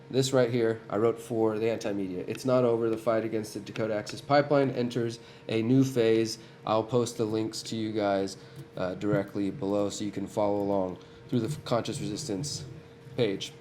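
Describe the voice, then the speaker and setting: Low-voiced man with a cold, hosting. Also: steady tone, brisk, darkish tone, slight background noise. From United States. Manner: earnest, talks straight to viewers, frequent restarts. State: has a cold. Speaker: host of a weekly live stream. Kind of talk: casual live-stream commentary.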